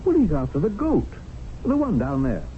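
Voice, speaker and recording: An actor playing a proper gentleman hunter of the Raj in an old-time radio drama, clipped, formal and matter-of-fact. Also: on the high side, wildly swooping pitch, moderate pace. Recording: muffled old radio broadcast, faint noise.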